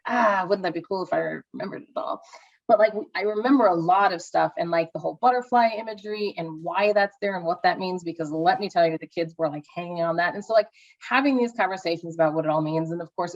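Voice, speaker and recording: A mother co-hosting a podcast in casual chat, talking eagerly and chiming in quickly. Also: on the low side. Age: adult with children. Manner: chatty, enthusiastic, quick interjections. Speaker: podcast co-host. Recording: dull lo-fi sound.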